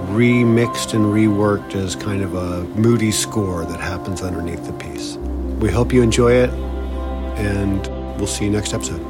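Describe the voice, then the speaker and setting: A man with a fairly low voice introduces a podcast episode. His delivery is casual and conversational, with some music playing behind him. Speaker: podcast host.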